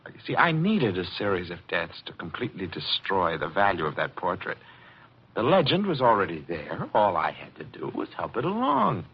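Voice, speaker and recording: An actor playing a deranged art dealer's clerk, a polite and obsessive killer, in 1940s radio suspense style. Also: male voice, low voice, huge pitch swings, moderate pace, husky, full character voice. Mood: calm madness. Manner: polite menace, theatrical. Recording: muffled, noisy old radio recording.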